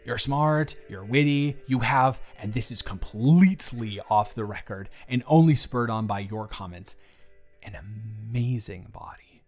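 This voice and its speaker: A man's voice: medium pitch, a little slow, a little mellow. A man acting in an intimate audio roleplay as an earnest, conflicted boss, talking in a confiding, hesitant, conversational way. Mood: reluctant confession. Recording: music behind the voice.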